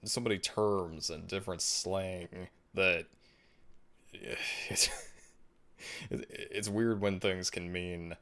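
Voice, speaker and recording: A man hosting a casual livestream, chatting in a laid-back, musing way with frequent restarts and soft laughs. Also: sits low, fairly even intonation, drawn-out words. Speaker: livestream host. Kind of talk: casual livestream chat, reading viewer comments.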